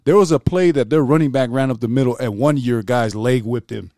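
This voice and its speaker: A man's voice: mid-range voice, fast talker, dark tone, no echo, clean studio sound. A man from Utah hosting a podcast in casual, joking banter with his co-hosts. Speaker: podcast host. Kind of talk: casual chat.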